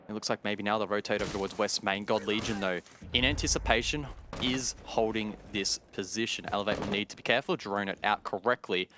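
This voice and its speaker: A man commentating on a competitive shooter match, explaining tactics in a measured way. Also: fairly low pitch, steady tone, noisy background. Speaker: esports commentator. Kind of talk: live analysis and commentary of a competitive shooter game. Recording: music and gunfire behind the voice.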